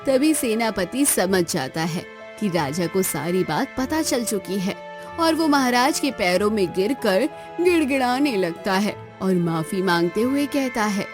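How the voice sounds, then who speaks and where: A woman's voice: medium pitch, expressive, a little slow, over music. A woman working as a narrator, reading a scripted moral story.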